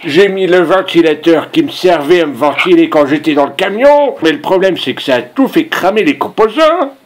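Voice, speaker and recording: A man's voice: on the high side, animated, normal speed, a little hiss or hum, close to an everyday voice. A man voicing a pushy, rambling, naive old grandfather in a prank phone call. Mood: boastful. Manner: insistent, rambling, repeats himself.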